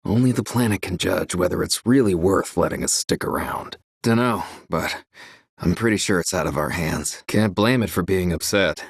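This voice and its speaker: Game townsman: philosophical, resigned slum local, deep rough-edged voice, offhand delivery. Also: moderate pace, dry recording, clean studio sound, full character voice. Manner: casual, resigned. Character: laid-back male slum townsman, philosophical. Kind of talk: video game character acting.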